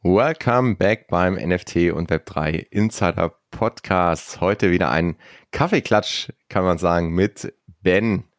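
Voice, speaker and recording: A man's voice: low-pitched, unhurried, no echo, clean studio sound. Chatty upbeat man hosting a podcast. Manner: upbeat, chatty, with frequent fillers and restarts. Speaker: podcast host. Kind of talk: casual podcast chat.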